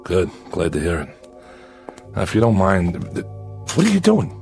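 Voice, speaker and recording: An actor in a radio drama playing a sardonic, weary convict living alone in exile on an asteroid. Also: male voice, sits low, wildly swooping pitch, moderate pace, gravelly, darkish tone, exaggerated voice. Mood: irritated. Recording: music behind.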